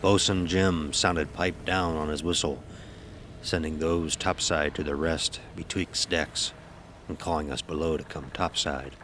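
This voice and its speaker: An actor playing an old-time sailing-ship officer, telling a sea tale in salty, archaic nautical speech for an audio drama. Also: male voice, very deep voice, fairly even intonation, unhurried, a bit bright, slight background noise. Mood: storytelling. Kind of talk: dramatic first-person audio horror narration.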